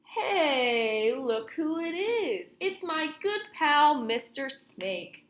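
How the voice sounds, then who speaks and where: A cocky storybook wolf, acted out by a woman, insists he is misunderstood. The voice sits fairly high, with a chummy, pushy, theatrical delivery in a roomy, echoing space. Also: very animated, drawn-out words, fairly bright tone, full character voice. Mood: chummy, persuasive. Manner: chummy, pushy, theatrical, addresses listener directly. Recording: roomy, echoing room sound.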